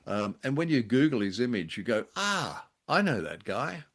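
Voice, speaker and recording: A man co-hosting a podcast, reviewing radio drama in a halting, measured way with dry understatement. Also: mid-range voice, sing-song, fairly bright tone. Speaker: podcast co-host.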